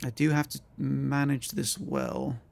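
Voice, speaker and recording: A male streamer with a croaky voice, chatting casually to his viewers as he thinks aloud and plans his moves in a strategy game. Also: mid-range voice, fairly even intonation, unhurried. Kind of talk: casual gameplay commentary to chat.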